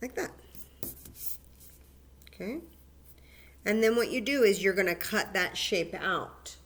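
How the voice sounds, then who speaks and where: A woman's voice: mid-range voice, takes it slowly, slight background noise. A woman hosting a library story time, guiding children patiently through a paper plate craft step by step.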